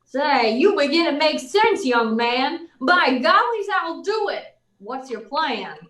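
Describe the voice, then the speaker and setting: A woman plays a folksy, skeptical small-town police chief in an old-time radio play. The voice is very high and swings freely, with plain rustic phrasing. It sounds roomy and echoing. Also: very animated, slow, a bit bright, far from the mic, heavily acted. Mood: convinced, eager. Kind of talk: 1940s radio drama acting. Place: live online performance in a roomy space. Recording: roomy echo, off the mic.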